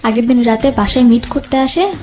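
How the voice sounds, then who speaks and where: A young woman recounting her own life as an interview guest, in a halting, hesitant way. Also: a little high. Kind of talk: personal storytelling in interview. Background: background music.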